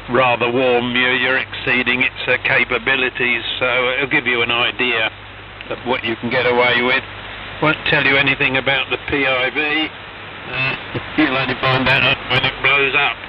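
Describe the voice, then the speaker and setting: A man chatting casually on an amateur radio net, heard through a shortwave transmission, with a halting, thoughtful way of explaining technical things. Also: medium pitch, muffled recording. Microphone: radio transceiver microphone heard over HF radio. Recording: noisy, band-limited shortwave radio reception.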